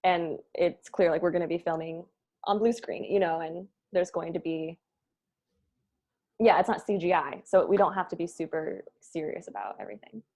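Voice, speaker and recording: A woman's voice: on the low side, moderate pace, a little mellow. Jokey, informal woman chatting. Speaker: show creator leading a cast table-read meeting. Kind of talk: casual chat.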